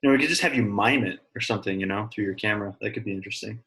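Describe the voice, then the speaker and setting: A man with a fairly low voice leads an online class as the teacher. His talk is casual and encouraging, addressed directly to his students. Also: very fast, fairly bright tone. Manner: encouraging, informal. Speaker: science teacher.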